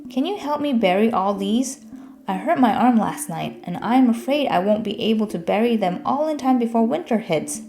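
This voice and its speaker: A woman's voice: fairly high pitch, lively intonation, moderate pace, warm tone, voice pushed up. A woman voicing a friendly, grateful female squirrel who chatters encouragingly in a children's storybook. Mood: worried, encouraging.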